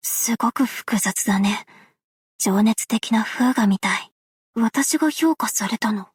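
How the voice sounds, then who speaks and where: Soft self-effacing game girl, young woman, super breathy near whisper, slightly high voice, quiet and measured. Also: dry recording, clean studio sound, exaggerated voice. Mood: hesitant, mild. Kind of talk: game character voice lines.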